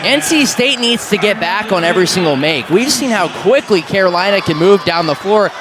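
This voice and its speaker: High-voiced male color commentator, crowd behind. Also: animated, a little fast. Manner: conversational, analytical. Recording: crowd and arena noise.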